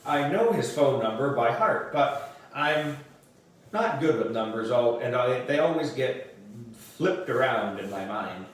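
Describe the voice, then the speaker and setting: Echoey, slow-paced man reading a storybook. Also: mid-range voice. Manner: animated, dramatic emphasis. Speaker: audiobook reader for a book club. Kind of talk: expressive children's storybook narration. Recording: roomy echo, distant microphone.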